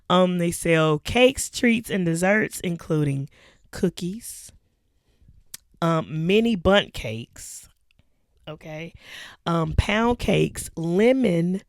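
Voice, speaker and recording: A hoarse woman co-hosting a podcast, chatting casually with laughs and slow, drawn-out lists. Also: on the low side, no room sound, clean studio sound. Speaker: podcast co-host. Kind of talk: casual chat.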